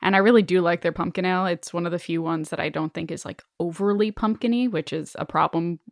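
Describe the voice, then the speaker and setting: A woman's voice: on the low side, fast talker, no echo, studio quality. A woman co-hosting a podcast, chatting casually and reading stories aloud with enthusiasm. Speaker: podcast co-host.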